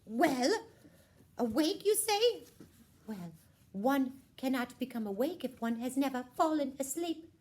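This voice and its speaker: A woman's voice: sits high, lively intonation, measured pace, darkish tone. A woman voicing a dramatic, fussy and frank young princess in a children's puppet show. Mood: indignant.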